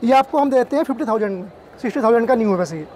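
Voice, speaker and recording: A man in his thirties pitching camera gear as a salesman, listing products and prices in a quick, repetitive way. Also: animated, darkish tone. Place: busy indoor exhibition hall.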